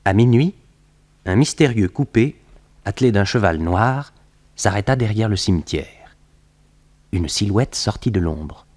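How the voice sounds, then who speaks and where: A man's voice: fairly low pitch, animated, unhurried, long pauses, darkish tone. A French man narrating a 1960s radio mystery serial in a grave storyteller's way. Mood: grave, suspenseful.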